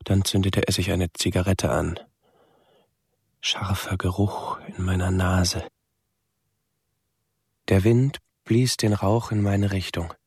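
An actor playing a withdrawn, melancholy young man who narrates a radio drama in measured, halting short phrases. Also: sits low, fairly even intonation, husky, no room sound, clean studio sound, full character voice. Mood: reflective. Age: young adult. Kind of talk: literary radio drama monologue.

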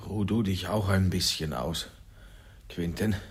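A man's voice: sits low, measured pace, slightly rough, heavily acted. A middle-aged man playing a shaken, weary father who shields his son in a radio drama. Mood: subdued, tender.

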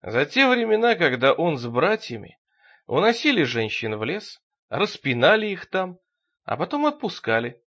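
A man's voice: fairly high pitch, a bit bright, lo-fi sound. A man voicing a bloodthirsty, vengeful monster in a brooding, menacing inner monologue from a horror audiobook.

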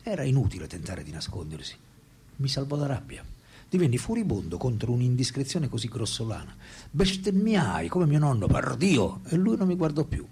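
A man's voice: medium pitch, huge pitch swings, moderate pace, darkish tone, breathy edge, heavily acted. An actor in a radio play voicing a French philosopher and writer, ironic, reflective and self-deprecating, telling his thoughts theatrically. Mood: wry, animated. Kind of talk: radio play dialogue and monologue.

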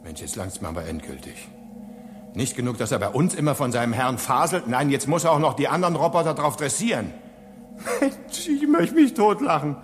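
A man's voice: medium pitch, big pitch swings, moderate pace, faint music behind, natural voice. A man playing a hot-tempered, sarcastic space station engineer in a German radio drama, with a blustering, exclamatory manner. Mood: scornful amusement. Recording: echoey hall with long reverb.

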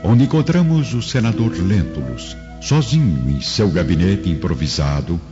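A man's voice: deep voice, very animated, moderate pace. A man narrating a radio drama in a dramatic, scripted style. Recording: dull lo-fi audio under loud music.

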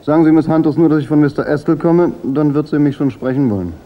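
A man's voice: on the high side, quick pace, natural voice. A man acting a cool, laconic, insolent private detective in a German radio crime drama. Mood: wry, assured. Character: cool, wry, unflappable hard-boiled private detective. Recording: dull radio drama recording.

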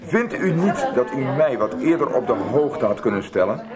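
Man doing a stuffy formal school boss in a radio play, mid-pitched, officious, music behind. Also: sing-song, moderate pace, lightly acted. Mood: indignant, flustered. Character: stiff, formal male school official, easily indignant. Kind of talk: 1990s Dutch radio soap acting.

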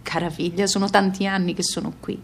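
A woman's voice: medium pitch, little pitch movement, a little fast, gravelly, slight background noise, close to an everyday voice. An actress playing a weary, torn emigrant mother in a radio play. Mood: hesitant, evasive. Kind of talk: radio theatre monologue and dialogue.

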